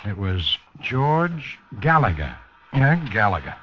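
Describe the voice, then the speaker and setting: An actor playing a helpful, unhurried factory manager, folksy and musing, in 1950s radio drama style. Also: male voice, fairly low pitch, big pitch swings. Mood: obliging, musing. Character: helpful, unhurried male small-factory manager checking records. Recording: dull, noisy vintage 1950s radio recording.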